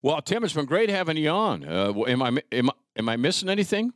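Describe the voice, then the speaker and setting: A man's voice: on the high side, very animated, fast, a bit bright, no room sound, clean studio sound. A middle-aged American man hosting a casual chat, reminiscing in a rambling, conversational way. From rural United States. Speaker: podcast host.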